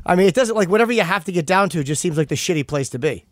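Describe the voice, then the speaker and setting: A man's voice: sits high, animated, racing, dry recording, studio quality. A man hosting a casual interview, asking long rambling questions with self-deprecating asides. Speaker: podcast host.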